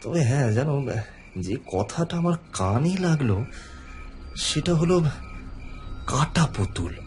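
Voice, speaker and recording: A harried, hesitant husband, expressive pitch. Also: male voice, a little slow. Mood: uneasy, gossipy. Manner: hesitant, colloquial, punctuated with sighs and fillers. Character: harried middle-aged husband, placating, anxious, gossipy. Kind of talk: Bengali audio horror story acting. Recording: background music under the voice.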